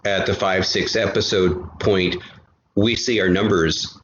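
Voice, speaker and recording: Lower-voiced man in an echoey room. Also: fairly even intonation, a little slow, a bit bright. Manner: talkative, repeats and rephrases himself. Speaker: podcast guest, audio drama creator. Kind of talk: casual conversational interview. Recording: roomy, dull lo-fi, faint noise.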